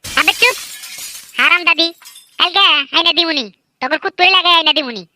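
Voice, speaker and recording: A woman doing comic cartoon voices with a rural Bangladeshi Bangla dialect, lively, boastful and teasing. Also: very high-pitched, fairly quick. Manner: lively, boastful, teasing village-kid banter. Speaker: cartoon voice artist and narrator. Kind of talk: comedic cartoon voice acting.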